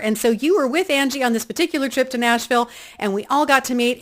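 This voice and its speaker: Chatty woman podcast host. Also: on the high side. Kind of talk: casual interview chat.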